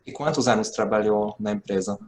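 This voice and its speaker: A man's voice: fairly low pitch, brisk, darkish tone. A man conducting an interview, who mostly gives brief encouraging murmurs and now and then asks a question.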